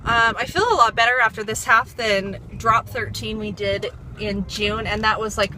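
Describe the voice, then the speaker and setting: A woman's voice: a little high, normal speed, pushed and bright. A woman vlogging in a casual, chatty way, rambling with lots of fillers. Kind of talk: casual chat to camera. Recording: noisy background hum.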